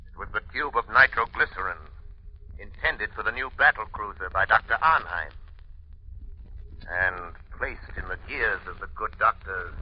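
A man's voice: fairly low pitch, lo-fi sound, close to an everyday voice. A man acting a mysterious, mocking crime-fighting avenger in an old-time radio drama, speaking with grand menace.

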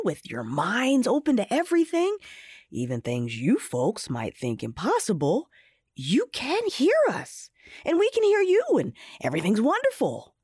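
A woman playing a wise, gentle imaginary friend in an audio fairy tale, patiently explaining in a husky, theatrical way. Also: on the high side, no room sound, clean studio sound, heavily acted.

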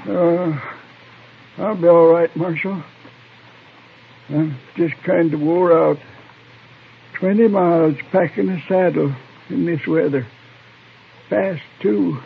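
An actor playing a weary, meek man in his seventies from the frontier, husky and folksy, in an old radio western. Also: on the high side, fairly even intonation, takes it slowly, long pauses, slight background noise. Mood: weary, feeble. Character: worn-out old frontiersman, seventy-five, plain-spoken and meek. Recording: muffled, narrow-band old radio recording.

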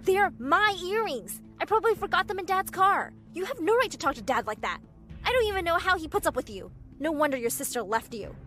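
A woman voicing a scornful, loud, rebellious teenage daughter in a dramatic story. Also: a little high, big pitch swings, a little fast, faint music behind. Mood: furious.

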